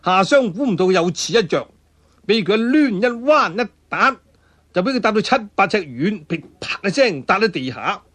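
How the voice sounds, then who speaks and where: A man's voice: high-pitched, sing-song, moderate pace, a bit bright. A man with a Cantonese accent telling a martial-arts tale in a dramatic, colloquial traditional storytelling style. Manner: dramatic, colloquial, with spoken sound effects and interjections.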